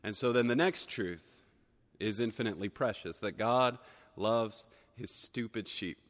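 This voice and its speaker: A man preaching a sermon, a little hoarse from a cold, earnest and building emphasis on key phrases. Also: lowish voice, a little slow, long reverb tail. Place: church sanctuary.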